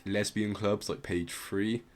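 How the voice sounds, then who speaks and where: A man hosting a podcast, informally explaining music history in a halting way as he searches for words. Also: fairly low pitch, level tone. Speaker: podcast host.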